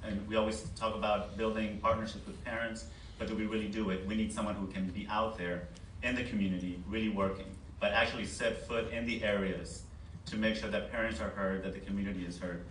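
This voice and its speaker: Man, forum candidate; lowish voice with little inflection, picked up from a distance. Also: steady tone, brisk, a bit bright. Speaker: school board candidate at a forum. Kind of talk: unscripted public forum answer. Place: meeting board room. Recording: echoey board room, distant mic, background noise.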